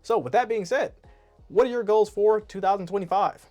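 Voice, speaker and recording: A man's voice: high voice, fairly quick, dark tone, soft background music. A man reviewing his year to camera in a casual, rambling, self-deprecating way. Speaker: YouTube artist and animator.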